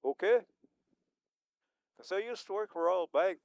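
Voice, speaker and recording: A man's voice: sits high, lively intonation, a little slow, creaky, dry recording, clean studio sound. A man in his seventies giving rambling, unscripted remarks at a community event, chuckling often as he talks. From Manitoba, Canada. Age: 70s.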